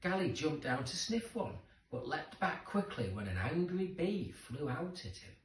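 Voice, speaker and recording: A man's voice: fairly high pitch, big pitch swings, a little slow. A man narrating a children's story expressively, with dramatic emphasis for young listeners. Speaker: storybook reader. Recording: roomy echo, distant microphone.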